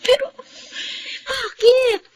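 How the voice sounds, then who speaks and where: A very high-pitched Filipino confidante, alarmed and scolding in radio drama style. She sounds incredulous, over faint background noise. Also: fairly even intonation, drawn-out words, full character voice. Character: alarmed, scolding female confidante of a troubled friend. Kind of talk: Tagalog radio drama acting.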